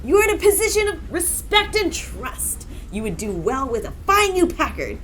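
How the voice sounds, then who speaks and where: A woman's voice: sits high, sing-song, normal speed, fairly bright tone, low-quality recording. A woman playing a pushy, smarmy male car salesman in a noir radio drama, wheedling and theatrical. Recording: faint background noise.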